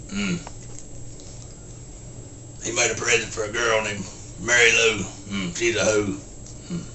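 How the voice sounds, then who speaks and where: Halting rural Southern simpleton storyteller, forceful edge, monotone, grunting hums, film-parody style, faint background noise. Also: male voice, on the low side, echoey room. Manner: halting, deadpan, grunts "hmm" between short sentences. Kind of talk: folksy storytelling, film character impression.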